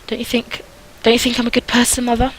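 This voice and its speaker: A woman voicing a timid, earnest young flower girl in a visual novel, soft and hesitant. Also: a little high, completely flat delivery, fast talker, slightly rough, voice pushed up, low-quality recording. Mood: meek pleading.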